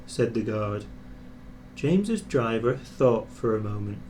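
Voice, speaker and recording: A man narrating a children's storybook in an expressive scripted reading. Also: lowish voice, slow, a little hiss or hum. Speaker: storybook reader.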